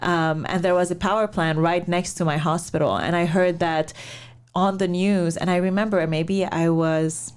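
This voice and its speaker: A woman from Lebanon, a founder and investor, telling her life story in an interview in a measured, reflective way with many pauses. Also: fairly low pitch, steady tone, clear, resonant tone. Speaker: guest, startup founder and investor.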